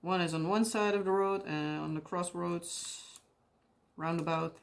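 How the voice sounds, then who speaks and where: A young Dutch woman talks unhurriedly in a somewhat low voice, rambling through a casual chat. She often stops to hunt for the right English word. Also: steady tone, a little slow, gravelly. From Netherlands. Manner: rambling, searches for English words, laughs often. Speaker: craft vlogger. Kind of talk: casual chat while crafting.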